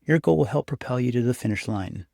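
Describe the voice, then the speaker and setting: A man narrating a scripted guided meditation as the guide, speaking in an unhurried, encouraging, instructive way. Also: mid-range voice, animated, vocal fry, mellow, no room sound, clean studio sound. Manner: unhurried, instructive, encouraging second-person address.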